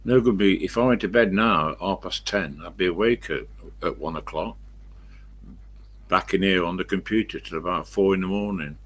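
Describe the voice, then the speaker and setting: An elderly English man with a croaky smoker's voice, rambling casually to viewers on a live stream and answering his own questions. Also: deep voice, moderate pace. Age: 70s or older. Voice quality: croaky, husky smoker's voice with a cough.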